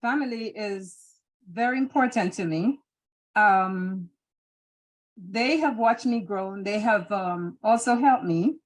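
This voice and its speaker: A middle-aged woman from Jamaica speaks with a Jamaican accent in a relaxed interview. She answers thoughtfully, pausing and restarting as she gathers her words. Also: medium pitch, rough recording. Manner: thoughtful, hesitant, many restarts and fillers. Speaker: interview guest.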